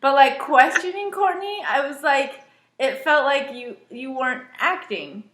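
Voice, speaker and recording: A woman's voice: high-pitched, expressive, a little slow, fairly bright tone, echoey room, slight background noise. A woman guest giving chatty, casual feedback, full of "like". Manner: chatty, lots of "like". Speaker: guest friend. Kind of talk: casual chat critique.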